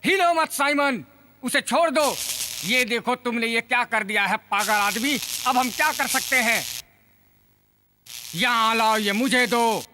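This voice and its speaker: A man's voice: very high voice, unhurried, background noise. A man voicing an irritable, bossy inventor in a cartoon dub, snappish and domineering. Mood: irritated, bossy. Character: irritable, bossy male cartoon inventor guarding his laboratory. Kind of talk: Hindi cartoon dub acting.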